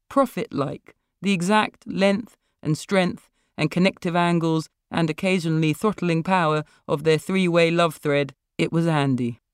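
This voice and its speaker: A woman's voice: lowish voice, darkish tone, clean tone, no room sound, studio quality. A woman author reading her own literary fiction as narrator.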